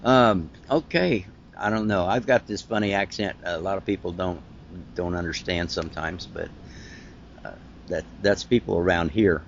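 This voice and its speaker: A man with an Ohio accent hosting a podcast interview over an internet call, talking in a rambling, halting, friendly way. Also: low-pitched, gravelly, lo-fi sound, slight background noise. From Ohio, United States. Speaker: podcast host. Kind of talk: casual interview chat.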